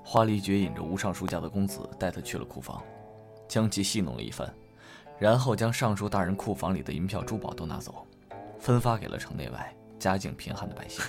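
A deep-voiced loyal retainer gives his report in formal, deferential tones. It is period audio drama with faint music behind. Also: lively intonation, fairly quick, gravelly. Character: dutiful male subordinate guard reporting to his master.

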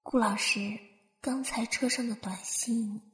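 An actress playing a polite, reserved young woman with quiet pluck in a radio drama. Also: a little high, steady tone, unhurried, natural voice. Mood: polite, hesitant. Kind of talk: Chinese radio drama acting. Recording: roomy echo, music underneath.

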